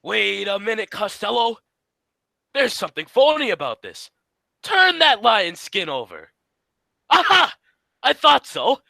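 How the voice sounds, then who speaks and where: A man playing the sharp, suspicious straight man in a 1940s-style radio comedy, brisk and badgering. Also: sits high, big pitch swings, creaky, dry recording, exaggerated voice.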